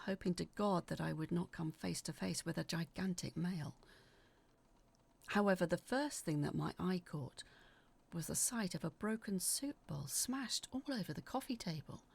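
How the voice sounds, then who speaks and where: A woman reading a scripted first-person horror story in a theatrical, expressive way, as its dramatic narrator. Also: medium pitch, gravelly.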